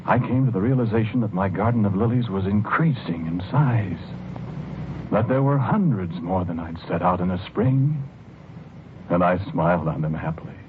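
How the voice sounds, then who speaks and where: Casting: timid, dreamy husband, low register, halting and wistful, vintage horror radio drama, tinny old recording. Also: male voice, animated, noisy background. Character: dreamy, timid husband obsessed with his lilies. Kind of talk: 1940s radio drama acting, first-person narration and dialogue. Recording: muffled old radio recording, music behind.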